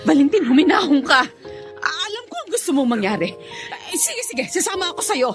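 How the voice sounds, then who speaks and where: A woman's voice: high-pitched, big pitch swings, fairly quick, music in the background. An actress playing a desperate woman pleading with a gunman in a radio drama. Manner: pleading, urgent.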